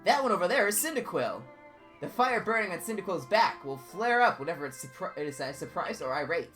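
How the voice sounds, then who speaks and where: A man voicing a fussy, excitable male scholar who speaks in polite, old-fashioned phrases and swings high with alarm. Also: brisk, soft background music. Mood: explaining.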